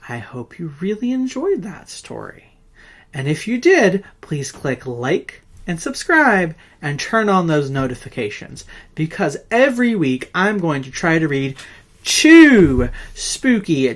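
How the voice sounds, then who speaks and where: Theatrical, animated campy horror storyteller. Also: mid-range voice. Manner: pompous, campy, self-flattering showmanship. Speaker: storyteller host.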